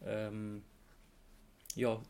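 A man with an Austrian accent explaining things in a casual podcast chat as a host, often halting and searching for words. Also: on the low side, completely flat delivery, vocal fry, a little mellow, a little hiss or hum.

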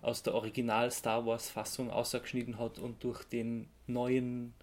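A man with an Austrian accent explaining things in a casual podcast chat as a host, often halting and searching for words. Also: mid-range voice, monotone, darkish tone.

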